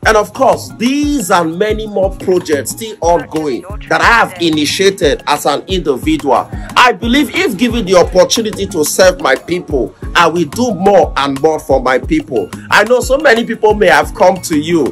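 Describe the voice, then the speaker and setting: A man's voice: high-pitched, sing-song, moderate pace, hardly any pauses, a bit bright. A man with a Nigerian accent playing an earnest, polished parliamentary candidate, giving a formal, declamatory campaign speech in a skit. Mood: earnest, proud. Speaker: political candidate. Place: open hall, camera. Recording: music behind the speech.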